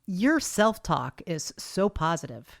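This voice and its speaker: A woman co-hosts a relaxed interview with a fairly low voice. She encourages the guest and sums up what she says. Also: slow pace, gravelly. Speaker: podcast co-host. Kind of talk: casual interview.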